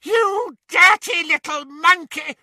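A cruel witch shrieks and sneers at her captives in a storybook reading, her voice pitched far above normal talk. A man acts her out, bawling her threats. Her voice is dry and close. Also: sing-song, slow, pushed and bright, no room sound, full character voice. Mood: shrieking fury.

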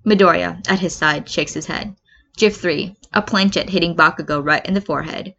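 A woman's voice: on the low side, moderate pace, gravelly, a bit bright. A woman reading a comedic story as scripted narration, acting out the quoted remarks, on a lo-fi home recording. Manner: acts out comments and quotes. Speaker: fan fiction reader.

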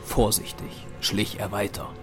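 Low-voiced male narrator over music. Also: lively intonation, unhurried. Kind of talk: scripted audio drama narration.

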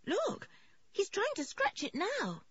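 Woman voicing a very high, hot-tempered young girl. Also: big pitch swings, a little slow, dry recording, lo-fi sound, heavily acted. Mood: anxious. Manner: shouting, urgent, emotional. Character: hot-tempered, loud young girl, anxious for her dog. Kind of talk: audiobook character voice.

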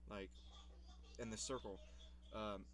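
A man's voice: fairly low pitch, fairly even intonation, slow pace. A young man in casual vlog commentary, rambling about his old videos, swearing casually and laughing at himself.

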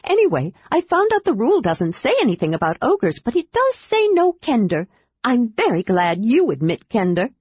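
A woman's voice: a little high, wildly swooping pitch, moderate pace, darkish tone, slightly rough, no echo, muffled recording, voice pushed up, full character voice. A woman voicing an eager, chatty little male kender who rambles breathlessly.

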